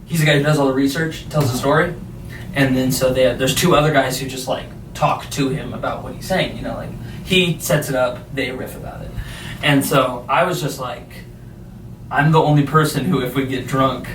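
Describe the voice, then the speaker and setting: A man hosting a podcast, chatting casually, with a voice he says booms loud, laughing often. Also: steady tone, moderate pace, echoey room, far from the mic, background noise. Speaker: podcast host. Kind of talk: casual chat.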